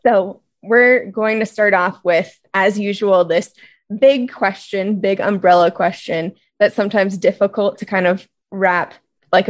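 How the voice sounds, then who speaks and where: Chatty woman co-hosting a podcast. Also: medium pitch. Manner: chatty, with "kind of" and "like" fillers. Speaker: podcast co-host.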